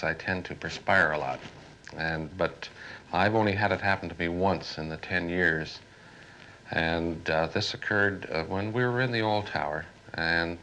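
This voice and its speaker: Male air traffic controller, low forceful voice, deliberate interview delivery, faintly noisy 1970s archive film sound. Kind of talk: interview, recounting work experience.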